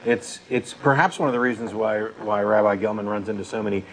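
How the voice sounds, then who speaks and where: A man's voice: fairly low pitch, fairly quick, fairly bright tone, slight background noise, rough recording. A man co-hosting a talk show, giving blunt opinions in casual, unscripted discussion.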